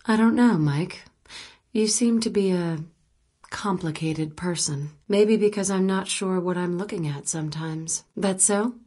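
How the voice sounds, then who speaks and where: Husky, wry woman journalist. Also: lowish voice, measured pace, dark tone. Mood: probing, suspicious. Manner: dry, teasing, conversational. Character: wry, probing, guarded woman journalist in a spy thriller. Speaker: video game voice actress. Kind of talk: naturalistic video game dialogue acting.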